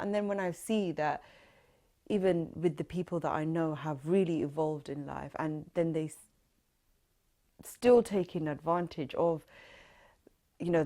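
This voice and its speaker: Woman, 30s, London accent, low voice, earnest interviewee in an oral history interview. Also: darkish tone. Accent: London English. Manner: reflective, earnest, with many fillers.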